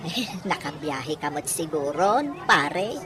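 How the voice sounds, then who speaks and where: A woman's voice: low-pitched, lively intonation, slow, noisy background. A woman acting a chatty, insistent neighbour with an Ilocano accent in a comedy radio drama. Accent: Filipino Ilocano. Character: chatty, insistent female neighbour chasing a debt.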